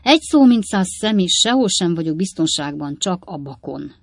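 A woman's voice: lowish voice, expressive, dry recording, clean studio sound. A woman voicing an old coachman father in a storybook reading, grumbling and reasoning pompously with emphatic indignation. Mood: grave grumbling. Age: older.

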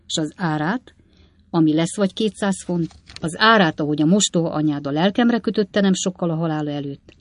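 A woman voicing an old coachman father in a storybook reading, grumbling and reasoning pompously with emphatic indignation. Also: deep voice. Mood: earnest. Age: older.

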